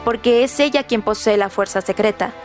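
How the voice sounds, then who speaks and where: A woman's voice: mid-range voice, moderate pace, soft background music. A woman narrating scripted literary commentary in a formal, rhetorical manner.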